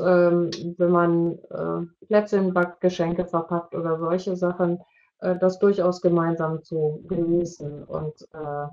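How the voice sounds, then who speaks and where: Low-pitched, hesitant woman on a dull call. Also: a little mellow. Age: middle-aged. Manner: hesitant, pausing, long winding sentences. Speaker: expert panellist. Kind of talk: spontaneous explanation in an online discussion. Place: indoor room, video call. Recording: dull, lo-fi video-call audio.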